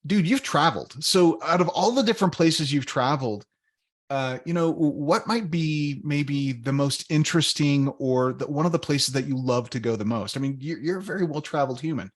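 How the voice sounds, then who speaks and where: A man's voice: on the high side, normal speed. A man hosting a sales podcast, friendly and laid-back, with repeats and restarts. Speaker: podcast host.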